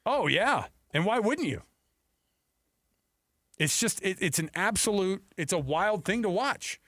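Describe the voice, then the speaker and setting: Male sports radio host, slightly high voice, dry close sound, casual and conversational. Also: lively intonation, unhurried, a bit bright, dry recording.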